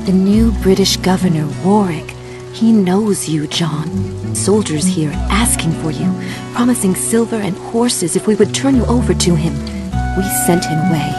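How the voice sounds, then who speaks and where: A woman's voice: mid-range voice, measured pace, music in the background, lightly acted. An actress playing a proud, troubled young tribeswoman in a game cutscene, urgent and reproachful. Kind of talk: scripted game cutscene acting.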